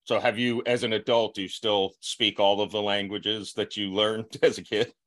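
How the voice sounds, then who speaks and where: Lower-voiced joking man host. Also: little pitch movement, moderate pace, a bit bright. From Florida, US. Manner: joking, self-deprecating, laughs often. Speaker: podcast host. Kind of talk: casual interview chat.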